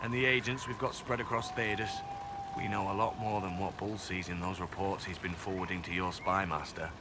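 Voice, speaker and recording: A man's voice: low voice, steady tone, a little fast, close to an everyday voice. Man acting guarded male elf spy, noisy backdrop. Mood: cool, businesslike. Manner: dry, matter-of-fact, quietly earnest. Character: young male elf spy, former slave, dry and guarded. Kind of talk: video game cutscene acting. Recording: game capture with loud ambient background noise.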